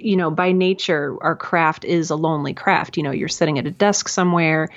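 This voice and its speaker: Woman, 30s, bubbly, laughs a lot, slightly low voice, muffled lo-fi audio. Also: fairly even intonation, a little fast. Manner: bubbly, chatty, laughs often, many fillers. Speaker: guest, audio drama creator. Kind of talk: casual conversational interview.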